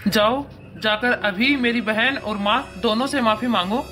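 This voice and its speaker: Woman playing a high, bright, domineering young husband. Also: measured pace, faint music behind. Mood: scolding. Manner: bossy, condescending. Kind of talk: cartoon moral-story acting.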